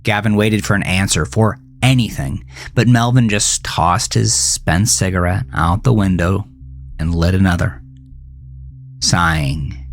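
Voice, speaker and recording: A man with a fairly low voice narrates a horror story very slowly and deliberately. His delivery is measured and dramatic, with music playing underneath.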